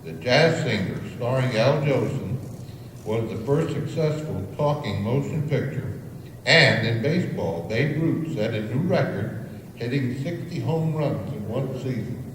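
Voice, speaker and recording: A man's voice: mid-range voice, expressive, a little slow. An American man in his seventies delivering a short prepared speech to a gathering. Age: 70s. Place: large indoor hall. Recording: very echoey hall, faint noise.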